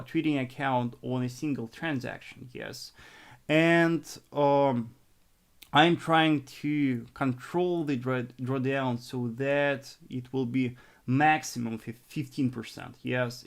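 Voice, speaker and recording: A young adult man from northern Russia with a Russian accent, answering live chat questions on a trading stream in a halting, earnest way with many restarts. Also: medium pitch, vocal fry. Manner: halting and repetitive, conservative and earnest.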